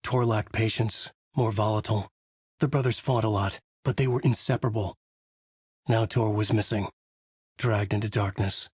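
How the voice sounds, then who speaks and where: An actor playing a haunted, desperate horror writer, narrating in a brooding, intense noir style for a video game. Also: male voice, lowish voice, steady tone, normal speed, darkish tone, breathy edge, dry recording, studio quality. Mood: brooding, grave. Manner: brooding, intense, terse delivery. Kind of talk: dramatic first-person noir narration.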